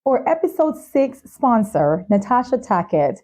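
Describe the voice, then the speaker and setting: A woman hosting a talk show, presenting in a measured, carefully enunciated way. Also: fairly high pitch, very animated, a little mellow. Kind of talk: scripted show introduction and sponsor reads, interviewing.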